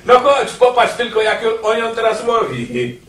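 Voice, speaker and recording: An actor playing a streetwise, dismissive criminal in a prison radio drama, talking in an offhand, brusque way. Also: male voice, very high-pitched, big pitch swings, a little slow, fairly bright tone, heavily acted. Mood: amused.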